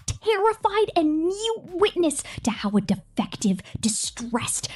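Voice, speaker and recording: Woman doing evil robot girl for asmr roleplay, soft dark breathy voice, half whispering, possessive n contemptuous. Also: fairly high pitch. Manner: theatrical, contemptuous, domineering, drawn-out lists. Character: menacing, possessive female killer robot, formerly a girl. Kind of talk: ASMR villain roleplay monologue.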